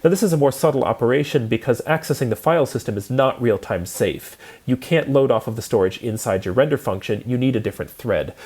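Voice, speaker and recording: Male lecturer, mid-pitched voice, explanatory and methodical instructional delivery. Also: expressive, fast talker, few pauses, darkish tone, husky.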